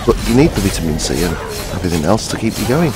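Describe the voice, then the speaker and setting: A man in his fifties with a gruff voice, hoarse from a cold, chatting casually and self-mockingly while playing a game. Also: deep voice, very animated, racing, background noise. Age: 50s. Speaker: gaming channel host. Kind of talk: casual let's-play commentary. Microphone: headphones. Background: game music.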